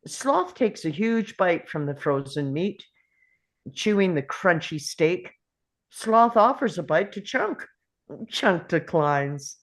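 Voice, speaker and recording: A woman's voice: on the low side, big pitch swings, slow. A woman reading screenplay stage directions as scripted narration at a table read.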